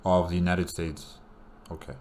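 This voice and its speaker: A man's voice: deep voice, little pitch movement, normal speed, darkish tone. A man giving casual reaction commentary as a solo host.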